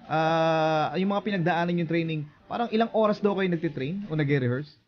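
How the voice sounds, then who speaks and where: A man's voice: on the high side, brisk, muffled recording. A man with a Filipino accent hosting a conversational celebrity interview on radio. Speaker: radio host.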